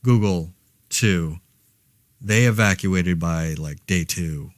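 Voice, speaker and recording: A wry rambling podcast dude stuck in the apocalypse, lowish voice, dry close sound, keeps second-guessing himself. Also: male voice, takes it slowly, no echo. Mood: wry, reflective. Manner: rambling, wry, hesitant, self-correcting. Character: laid-off, rambling New York man podcasting through a zombie outbreak. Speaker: podcast host. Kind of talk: casual podcast monologue in a fiction audio drama.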